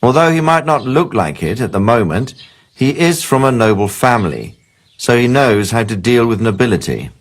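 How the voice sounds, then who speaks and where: An actor playing an authoritative middle-aged nobleman in a video game, composed, measured and firm as he gives orders. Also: mid-range voice, big pitch swings, lightly acted. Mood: firm, persuasive.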